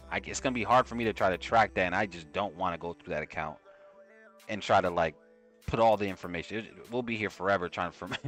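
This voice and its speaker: A man's voice: on the low side, a bit bright. A young man with a New York accent chatting casually with viewers on a trading live stream. He is slangy and loose, often tagging "right?" onto his sentences.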